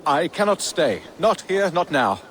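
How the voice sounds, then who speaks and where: Playful, lilting talking elk. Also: male voice, fairly high pitch, very animated, unhurried, heavy background noise. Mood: evasive. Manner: playful, theatrical, musing. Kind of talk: video game dialogue.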